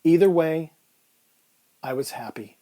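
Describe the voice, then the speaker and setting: A man's voice: on the high side, a little slow, mellow, lightly acted. A man playing a weary, resigned dying man in a reflective radio-drama monologue. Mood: drowsy, peaceful. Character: weary, resigned dying man telling his strange tale. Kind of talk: first-person dramatic monologue in old-time radio style.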